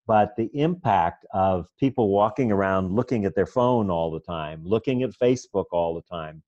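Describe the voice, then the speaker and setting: A man hosting an interview, speaking in an unhurried, attentive way. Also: low-pitched, darkish tone, no echo. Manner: attentive, unhurried, with brief affirming murmurs. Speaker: host. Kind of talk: conversational interview. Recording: dull, dry lo-fi audio.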